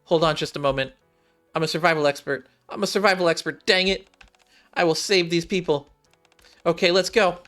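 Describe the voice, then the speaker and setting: A man's voice: a little high, steady tone, fairly quick, fairly bright tone, lightly acted. A man voicing an earnest, plucky young female android survival expert, eager and determined, in a game read-along. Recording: game music behind the voice.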